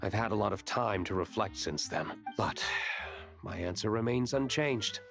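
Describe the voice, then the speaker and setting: An actor playing a stern, reserved young nobleman in an anime-style video game, speaking in a measured, formal, stoic way. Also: fairly low pitch, animated, a bit bright. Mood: resolute. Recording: game dialogue with music behind.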